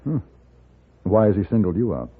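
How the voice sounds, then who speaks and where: An actor playing a composed, courteous gunfighter for hire with an American accent, speaking in a polished, deliberate 1950s radio manner. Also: male voice, on the low side, very animated, breathy edge, exaggerated voice. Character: composed, courteous gunfighter for hire, narrating his own tale. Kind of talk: 1950s Western radio acting and narration. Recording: narrow-band 1950s radio recording with music.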